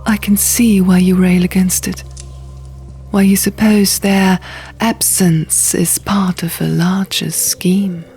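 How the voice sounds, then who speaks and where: Cold abbess acted by a woman narrator for fantasy audiobook: hushed, condescending, slow, with a creaky edge over music. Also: fairly low pitch, little pitch movement, heavily acted. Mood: cold, scolding. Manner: quiet, measured, condescending. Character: stern, cold, dismissive abbess, scolding quietly.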